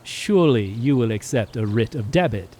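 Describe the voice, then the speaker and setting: A man playing a pompous, wheedling castle gentleman in an audio drama, speaking in a florid, hesitant, ingratiating way. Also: mid-range voice, expressive, moderate pace, slight background noise, lightly acted. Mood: pleading, ingratiating.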